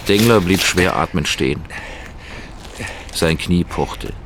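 Very deep-voiced male radio drama narrator. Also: slow, fairly bright tone, slight background noise.

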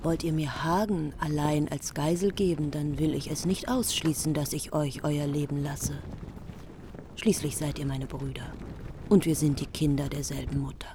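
A woman's voice: low-pitched, fairly even intonation, moderate pace, warm tone, slight background noise. An actress playing a vengeful, bitter queen who gives orders coldly in a radio play. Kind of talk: radio drama acting.

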